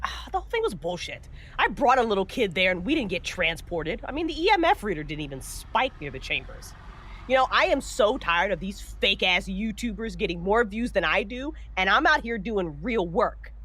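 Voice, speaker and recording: An actress playing a brash, foul-mouthed, pushy paranormal investigator in a horror audio drama. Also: female voice, medium pitch, big pitch swings, normal speed, a bit bright, husky. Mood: exasperated.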